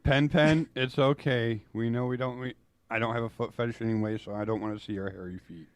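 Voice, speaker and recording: A man's voice: medium pitch, fairly even intonation, brisk, smooth, dry recording. A man joking casually in a bantering group chat.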